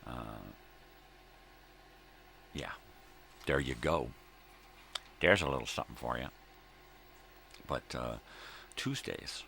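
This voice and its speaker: A middle-aged man with a gravelly voice hosting a casual solo vlog, halting and repeating himself as he grumbles his opinions. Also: a bass voice, expressive, sharp tone. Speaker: host of a daily morning video log. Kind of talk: rambling casual solo chat. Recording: noticeable background noise.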